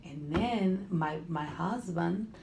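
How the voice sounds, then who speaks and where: A middle-aged Honduran woman talks in slow, broken English, restarting her phrases and groping for words. Her voice sits low with heavy gravel and a soft, mellow tone. The room has a noticeable echo and faint background noise. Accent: Honduran Spanish. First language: Spanish. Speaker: interviewee. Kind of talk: casual conversation.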